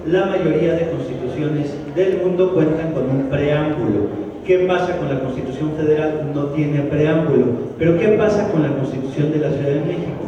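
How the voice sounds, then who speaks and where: A man with a Mexico City accent lectures a live audience in a conversational, joking way, often restarting his sentences. His fairly high voice comes from well away from the microphone in a big, reverberant hall. The sound is dull, and a crowd murmurs behind him. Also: normal speed. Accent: Mexico City Spanish. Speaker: lecturer at a public forum. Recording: echoey hall from far off, dull. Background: audience in a large hall.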